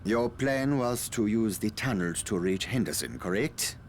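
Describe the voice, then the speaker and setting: An actor playing an evasive, self-justifying scientist in a video game, speaking formally and precisely with polite condescension. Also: male voice, on the low side, animated, measured pace, a little hiss or hum. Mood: grave warning. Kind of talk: dramatic video game cutscene acting.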